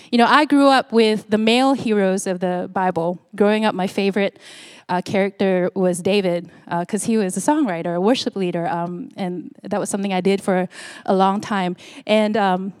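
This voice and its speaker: A woman's voice: mid-range voice, moderate pace, clear, resonant tone, no echo, studio quality. A woman from Singapore, around her forties, preaching a sermon in a conversational way with frequent fillers and restarts. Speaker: pastor.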